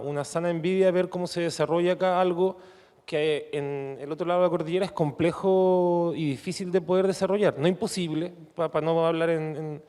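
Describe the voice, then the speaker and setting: A man's voice: fairly high pitch, a little mellow. A Chilean man speaking unscripted as a panelist at a conference, often searching for his words. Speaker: guest panelist, academic. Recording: echoey hall with long reverb.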